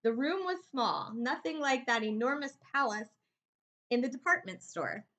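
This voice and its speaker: A woman narrating a children's storybook expressively, leaning deliberately on key words. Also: high-pitched, expressive, pushed and bright. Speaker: volunteer story reader.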